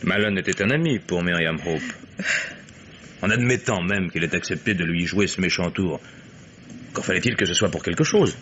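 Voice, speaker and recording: Lowish, insistent detective, faint hiss. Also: male voice, normal speed, a bit bright, lo-fi sound. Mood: puzzled probing. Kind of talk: old French radio mystery acting. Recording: old radio drama with faint hiss.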